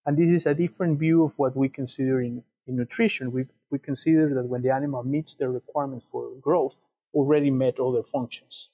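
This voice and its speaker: A man in his thirties with an Ecuadorian Spanish accent, explaining research in an interview in a measured, slightly halting way over a video call. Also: medium pitch, fairly even intonation, dark tone, husky, rough recording. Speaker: guest, animal science PhD researcher. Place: empty classroom, indoors. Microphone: wired earphones on a video call.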